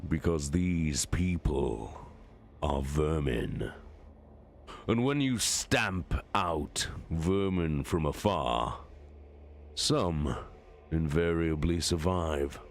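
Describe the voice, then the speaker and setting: Man playing imperious male warlord, very low voice, slow deliberate delivery, cold and calculating, dramatic sci-fi storytelling. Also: expressive, vocal fry, full character voice. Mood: cold, commanding. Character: commanding, calculating male warlord, coldly contemptuous of his enemies. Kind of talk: dramatic audiobook-style reading of science fiction.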